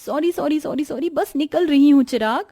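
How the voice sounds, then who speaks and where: A woman playing an innocent, timid young woman with a girlish manner in an animated story. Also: high-pitched, normal speed, faint music behind. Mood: playful.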